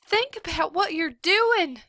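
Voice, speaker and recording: A desperate young schoolteacher voiced by a woman reading aloud pleads in a raised, high voice. Her words come bright and forceful, recorded close and dry. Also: animated, drawn-out words, dry recording, heavily acted. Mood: frantic. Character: frantic young female schoolteacher.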